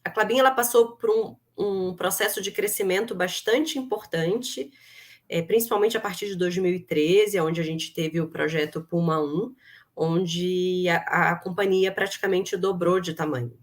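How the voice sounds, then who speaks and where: A woman's voice: fairly low pitch, steady tone, normal speed. A middle-aged woman with a Brazilian accent, speaking as an interview guest and explaining in a conversational way. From Brazil, grew up in Rio de Janeiro. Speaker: interview guest, human resources executive.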